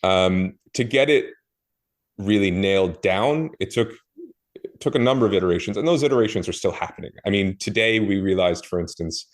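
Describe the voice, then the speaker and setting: A man with a very low voice walks through how he builds things, in a relaxed podcast chat. He talks thoughtfully, often pausing on fillers and restarting mid-word. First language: not Portuguese. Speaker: guest, e-commerce marketer. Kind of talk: casual podcast conversation.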